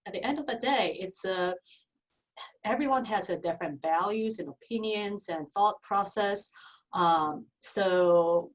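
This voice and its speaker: A woman's voice: mid-range voice, unhurried, recorded from a distance, low-quality recording. A woman in her forties from Japan with a Japanese accent, a guest in an interview, speaking earnestly with frequent restarts. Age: 40s. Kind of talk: casual interview.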